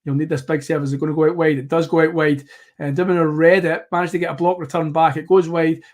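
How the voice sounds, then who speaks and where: A man hosting a live tennis watchalong, commentating play by play in a rambling, self-correcting way, slightly hoarse. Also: a little high, little pitch movement, quick pace, a little mellow.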